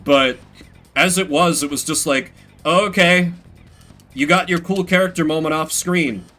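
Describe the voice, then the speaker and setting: A man's voice: a little high, unhurried, faint music behind. A man streaming games, chatting casually with viewers in a rambling, story-telling way. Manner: rambling, animated retelling with acted-out quotes. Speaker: game streamer. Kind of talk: casual livestream chat with viewers.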